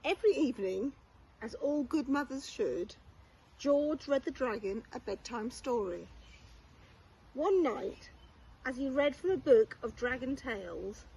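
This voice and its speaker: A woman reading a children's storybook aloud expressively, like a primary school teacher, with measured, deliberate phrasing. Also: sits high, expressive, creaky, dark tone, slightly rough, poor audio.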